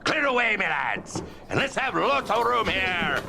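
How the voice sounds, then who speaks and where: Booming, high-pitched jolly old master. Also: male voice, big pitch swings, vocal fry, faint music behind, full character voice. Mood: jolly, hearty. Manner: hearty, calling out in drawn-out shouts. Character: jovial old merchant master, booming and merry. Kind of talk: theatrical audio drama acting.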